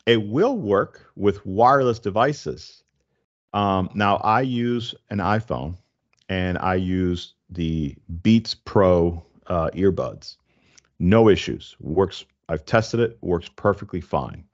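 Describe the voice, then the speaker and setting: A man in his forties hosting a casual tech explainer, speaking in a halting, stop-and-start way into a close desk microphone. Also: low-pitched, lively intonation, dull audio. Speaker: tech show host. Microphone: desk condenser microphone, close, with headset.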